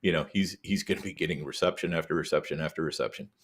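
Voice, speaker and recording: A man's voice: rumbling low, quick pace, breathy edge. A man speaking as a guest on a sports podcast, easygoing and full of ums and you-knows. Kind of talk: casual sports podcast chat.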